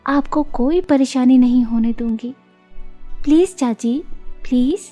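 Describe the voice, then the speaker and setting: A humble young elder sister in a cartoon moral tale, played by a woman narrator. She speaks softly and politely in a high voice, pleading at an unhurried pace over light music.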